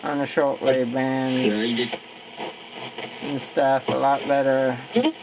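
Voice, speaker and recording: A man giving a casual, unscripted gadget demonstration in a low-key, halting way, thinking aloud as he tinkers. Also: flat delivery. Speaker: radio hobbyist demonstrating a receiver. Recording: very noisy amateur recording with radio static.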